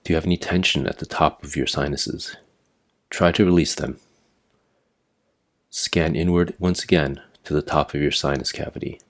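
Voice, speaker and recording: A man narrating a guided meditation as an instructor, giving measured, step-by-step directions. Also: extremely low pitch, steady tone. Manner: measured, imperative step-by-step guidance.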